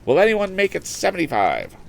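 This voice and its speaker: A man voicing a brisk, jovial male auctioneer with sing-song, coaxing sales patter, pitched well above his own voice. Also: wildly swooping pitch, creaky, heavily acted.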